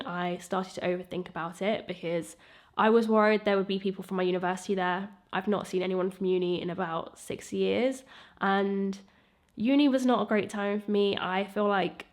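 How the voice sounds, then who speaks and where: A woman in her thirties, sounding nasal from a cold, talking candidly and a bit ramblingly to camera. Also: mid-range voice. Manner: candid, rambling, self-reflective. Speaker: YouTuber. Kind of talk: casual vlog talk to camera.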